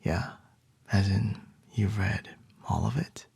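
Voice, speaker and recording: A man playing a calm, charming male CEO boss in an ASMR roleplay, soft and reassuring with a light teasing edge. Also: fairly low pitch, slow pace, creaky, husky, no echo, heavily acted. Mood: soothing.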